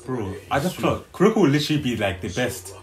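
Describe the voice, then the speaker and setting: A man's voice: mid-range voice, sing-song, fast, a crowd in the background. A young man with a London accent giving casual, slangy, exclamatory reaction commentary. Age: young adult. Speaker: reaction video host. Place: indoor room on a sofa. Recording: roomy echo, faint show audio behind.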